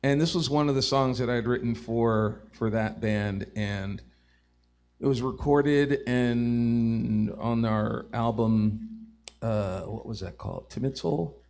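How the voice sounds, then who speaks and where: A man who is a singer-songwriter, talking casually and haltingly between songs. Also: mid-range voice. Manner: hesitant, halting delivery. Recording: loud acoustic guitar behind. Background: guitar playing.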